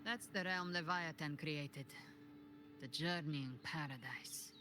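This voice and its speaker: A grave, knowing advisor in a game cutscene who explains things in a deliberate, serious way. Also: mid-range voice, close to an everyday voice. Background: game music.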